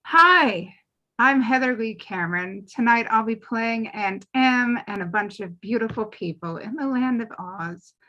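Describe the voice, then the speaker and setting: Earnest lady, bright voice on the higher side, emphatic intro. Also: lively intonation, unhurried, lo-fi sound. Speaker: table read performer. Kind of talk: self-introduction.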